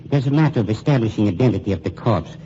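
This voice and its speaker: A man's voice: lowish voice, animated, rapid-fire, mellow, natural voice. An actor playing a suave, menacing male undertaker in a 1940s radio drama, coldly polite and drawn out. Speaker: funeral home owner. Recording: muffled 1940s radio broadcast with faint hiss.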